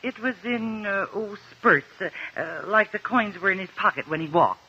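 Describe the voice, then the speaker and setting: An actress with an American accent playing a nervous, earnest courtroom witness in a 1950s radio drama, hesitant and stammering. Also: female voice, medium pitch, animated, moderate pace, sharp tone, breathy edge, exaggerated voice. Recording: muffled old 1950s radio recording.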